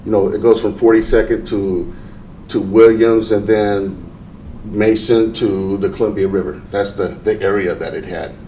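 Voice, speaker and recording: Low, mellow middle-aged man in a roomy space. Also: steady tone, a little hiss or hum. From Portland, Oregon. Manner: unhurried, halting with fillers and restarts. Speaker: interview guest, veteran. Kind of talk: casual life-story interview. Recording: roomy, mic at a distance.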